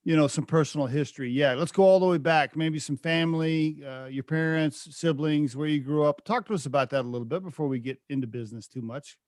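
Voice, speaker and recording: A man's voice: on the high side, fairly quick, hardly any pauses, a little mellow, no echo, clean studio sound. A man in his fifties hosting a podcast interview, chatty and jokey, firing off several questions in a row. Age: 50s. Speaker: podcast host. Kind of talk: casual interview chat.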